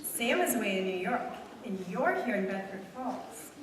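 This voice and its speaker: A woman's voice: mid-range voice, expressive, moderate pace, close to an everyday voice. A woman playing an affectionate, nudging small-town mother in a staged radio play. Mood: coaxing. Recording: echoey hall from far off, faint noise.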